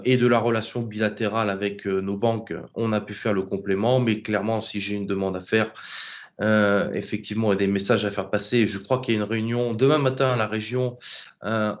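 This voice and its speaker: A man presenting in a webinar, speaking hesitantly with many fillers. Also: fairly low pitch. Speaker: cooperative group executive speaker. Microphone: video call. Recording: dull lo-fi video call audio.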